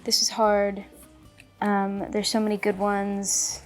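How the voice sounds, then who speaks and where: Young woman, mid-pitch, loose chatty storyteller, soft music underneath. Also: completely flat delivery, slow pace. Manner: chatty, trails off with fillers like "like". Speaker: actress, interview guest. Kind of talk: casual rapid-fire chat.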